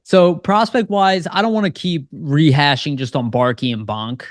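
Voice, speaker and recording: A man's voice: a little high, moderate pace, no echo. An American man co-hosting a sports podcast, talking in a loose, rambling way with lots of fillers. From United States. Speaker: podcast co-host. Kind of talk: casual sports podcast chat.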